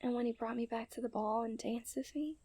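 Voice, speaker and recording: A young woman playing a coy, refined and tender princess in a romantic ASMR roleplay, speaking in playful storybook diction that often softens to a near-whisper. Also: on the high side, steady tone, fairly quick, no echo, close to an everyday voice. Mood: tender, earnest. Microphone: close studio-style microphone.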